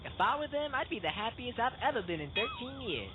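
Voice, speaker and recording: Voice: mid-range voice, sing-song, a little fast, natural voice. A performer voicing a sarcastic thirteen-year-old kid with a bitter edge. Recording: tinny phone-like audio, loud noise.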